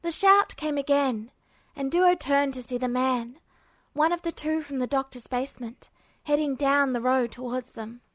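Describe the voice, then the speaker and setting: A woman narrates a piece of fiction from a script. Her voice sits fairly high, and the recording is dry with very little room sound. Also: moderate pace, fairly bright tone, no echo. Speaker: narrator, podfic reader.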